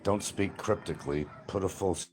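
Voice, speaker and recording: Man, extremely deep voice, blunt, combative, rambling livestream, outdoors, phone mic, faint birds behind. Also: fairly quick, darkish tone, slight background noise. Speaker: livestream host. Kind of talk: rambling livestream monologue answering comments. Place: outdoors by a field.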